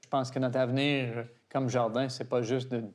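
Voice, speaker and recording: A middle-aged man from Toronto whose first language is English, speaking French with an English-Canadian accent as an interview guest, often halting and restarting. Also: mid-range voice, little pitch movement. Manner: hesitant, halting, frequent restarts. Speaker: garden director, interview guest. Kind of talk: casual interview conversation.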